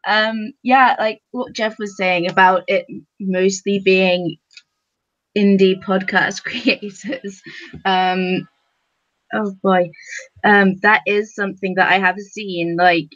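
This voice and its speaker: Woman, casual panelist, slow and halting delivery, slightly dull sound. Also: mid-range voice, steady tone, fairly bright tone, no room sound. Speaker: podcast panelist.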